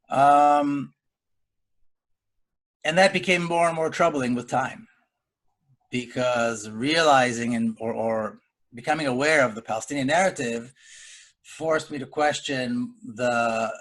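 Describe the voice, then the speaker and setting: Middle-aged man from Israel, panelist on a video call, mid-pitched, measured and earnest. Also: fairly bright tone, low-quality recording. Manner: deliberate, earnest, measured delivery. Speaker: panel speaker. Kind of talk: webinar round-table discussion.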